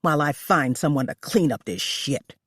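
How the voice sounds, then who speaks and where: A woman reading aloud, voicing a cruel wealthy female employer who yells and screeches at her maid. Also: lively intonation, normal speed, slightly rough, no echo, studio quality, exaggerated voice. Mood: furious. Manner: shrill, shouting.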